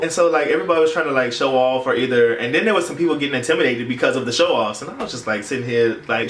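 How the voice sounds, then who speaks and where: A man's voice: mid-range voice, a bit bright, slight background noise. A young man tells a story in a casual, playful, dramatic vlog style with slangy phrasing. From United States. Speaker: YouTube vlogger. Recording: roomy echo, distant mic, music behind.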